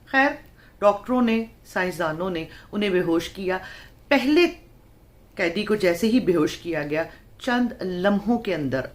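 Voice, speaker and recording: A woman with a fairly low voice narrates a horror story. Her delivery is dramatic and suspenseful, with deliberate phrasing and pauses between phrases.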